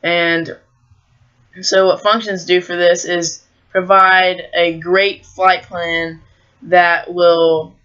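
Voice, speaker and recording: An American teenage high school student giving an explanatory school presentation. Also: sharp tone, smooth, lo-fi sound.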